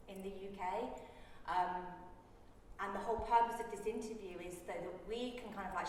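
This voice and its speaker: Interviewer: woman, gentle and measured, far from the mic in a very echoey hall. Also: medium pitch. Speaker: journalist interviewer. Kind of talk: interview questions. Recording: very echoey, distant mic with faint noise.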